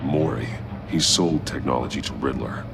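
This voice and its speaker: Super deep growly masked crimefighter, grim n analytical, gravel in every word, game audio w rumble behind. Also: male voice, normal speed. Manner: terse, analytical, deadpan. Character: grim, methodical masked male vigilante detective. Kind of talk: video game voice acting.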